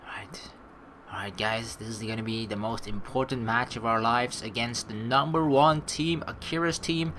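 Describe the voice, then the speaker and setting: A woman doing chatty, slangy let's play commentary and reading game story text aloud with expressive reactions. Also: a bass voice, normal speed, slight background noise.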